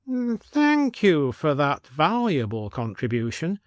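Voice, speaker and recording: A man's voice: a little high, huge pitch swings, slow pace, no room sound, clean studio sound, pitched above the performer's own voice, heavily acted. A man voicing a prim, bossy upper-class village lady with a commanding, formal manner in an audio story. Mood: prim, firm.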